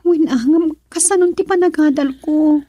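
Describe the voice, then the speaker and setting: Woman acting young ilocano drama girlfriend, high pitched, sweet on him but anxious, dry studio sound. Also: normal speed, dark tone, dry recording, lightly acted. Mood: worried.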